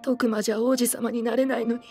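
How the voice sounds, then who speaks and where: A teenage boy idol with a light, high, androgynous voice. He is cheeky and theatrical, with bitterness and self-mockery underneath, in audio drama style over music. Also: little pitch movement, quick pace, a little mellow. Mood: shaken, bitter. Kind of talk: Japanese audio drama acting.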